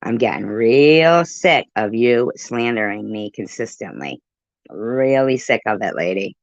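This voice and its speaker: A woman's voice: a bass voice, expressive, a little slow, no room sound, dull audio. A woman hosting her channel, cutting in on a clip with heated, swearing rebuttals. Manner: combative, swearing, cuts in to rebut. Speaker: channel host reacting to a clip. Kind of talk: heated commentary.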